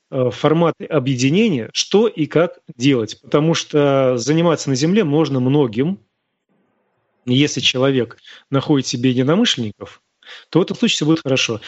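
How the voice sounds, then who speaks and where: A man's voice: no room sound, dull audio. Scornful, emphatic man lecturing on radio. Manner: emphatic and scornful, with sharp rises in pitch. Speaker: radio host answering listener questions. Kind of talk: live radio talk, lecturing.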